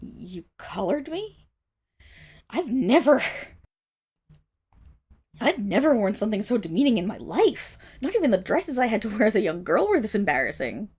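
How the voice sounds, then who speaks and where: A woman's voice: medium pitch, big pitch swings, moderate pace, long pauses, a little mellow, slightly rough. A woman playing a haughty, indignant young wolf monstergirl of noble birth in a dramatic ASMR roleplay, speaking disdainfully straight to the listener. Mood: flustered, demanding.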